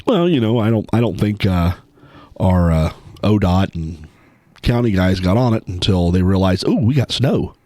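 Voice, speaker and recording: Brief: man, low lively voice, rambling chatty co-host, dry close sound. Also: moderate pace, dry recording. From Ohio, USA. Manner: rambling, filler-heavy storyteller. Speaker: podcast co-host. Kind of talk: casual chat.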